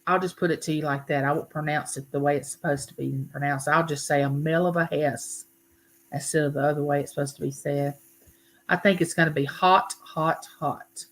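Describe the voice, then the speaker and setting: A woman's voice: deep voice, fairly even intonation, a little fast, low-quality recording. A woman in her fifties with a Southern US accent, chatting casually with viewers as host of her own livestream, folksy and drawn out. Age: 50s. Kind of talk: casual live chat with viewers.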